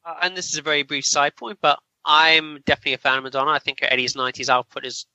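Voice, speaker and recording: Male podcast host, opinionated and informal, high-ish and strikingly bright, close dry sound. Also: little pitch movement, racing, no echo, low-quality recording. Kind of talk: casual film review chat.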